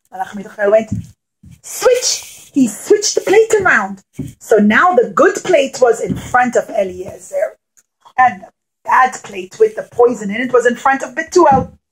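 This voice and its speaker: A woman with a high voice tells a puppet-show story to young children. She uses simple words and repeated phrases, in the animated way of a children's storyteller. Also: low-quality recording.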